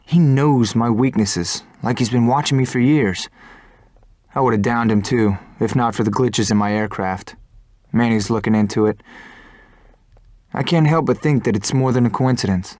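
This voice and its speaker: A man's voice: mid-range voice, normal speed, a little mellow, dry recording, clean studio sound, close to an everyday voice. Earnest young fighter pilot, played by a man. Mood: troubled. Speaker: fighter pilot protagonist and narrator. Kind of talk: video game cutscene narration and dialogue. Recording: music behind the voice.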